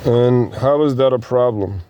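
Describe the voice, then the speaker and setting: A man runs a casual group discussion, steering it with follow-up questions. His voice is mellow and relaxed, sitting at an average pitch for a man. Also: a little slow, slight background noise. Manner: probing, asks follow-up questions. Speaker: interviewer.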